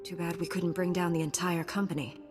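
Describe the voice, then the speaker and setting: An actress playing a calm, resolute and compassionate female space knight in a video game. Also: lowish voice, fairly quick, faint music behind, close to an everyday voice.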